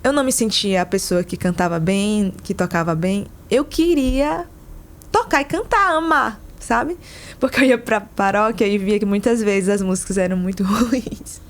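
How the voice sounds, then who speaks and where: A woman's voice: mid-range voice, moderate pace. A woman from Amazonas with a Brazilian accent, giving casual personal testimony as a podcast guest. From interior of Amazonas, Brazil. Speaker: podcast guest, consecrated religious community member.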